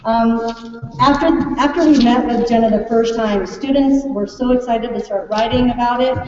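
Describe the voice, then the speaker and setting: A woman's voice: a little high, normal speed, darkish tone. An American woman, a teacher, giving an informal, chatty welcome speech and prompting her listeners with questions. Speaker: third grade teacher presenting a class project. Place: large echoey room at a school event. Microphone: distant microphone. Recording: echoey hall, distant mic, muffled, faint noise.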